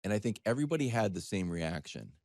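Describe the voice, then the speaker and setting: A middle-aged man telling a conversational story as a sports talk panelist, animated and wry, slipping into imagined dialogue. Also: on the low side, fast, no echo, clean studio sound.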